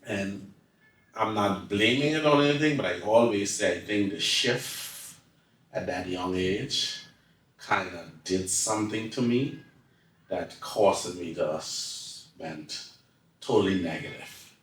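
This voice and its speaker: Male interviewee, Turks and Caicos accent, deep voice, repeats for emphasis, distant from the mic in a reverberant space. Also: slow pace, a bit bright. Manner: emphatic, often repeats phrases. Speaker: interview guest. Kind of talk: personal life-story interview. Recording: echoey room, distant mic.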